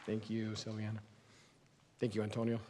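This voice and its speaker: A man's voice: on the low side, completely flat delivery, moderate pace, in a large hall, background noise. A man chairing a public meeting, speaking in informal procedural talk from a council chamber.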